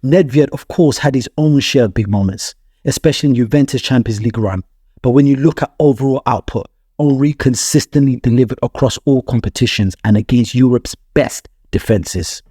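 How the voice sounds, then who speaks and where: A man's voice: mid-range voice, normal speed, dark tone, husky, no echo, studio quality. A man delivering scripted sports analysis as a video narrator, with emphatic phrasing and rhetorical questions to the viewer. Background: music.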